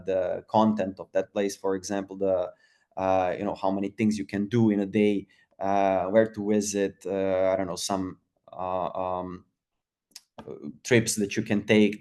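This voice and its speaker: Man, low voice, flat delivery, halting webinar talk full of fillers. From Croatia. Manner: halting, many fillers and restarts. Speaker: webinar speaker.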